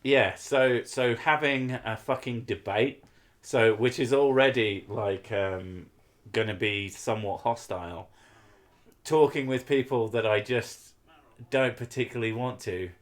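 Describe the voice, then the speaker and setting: Male streamer, mid-pitched, rambling casual chat with swearing. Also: unhurried. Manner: swears often, rambling with fillers.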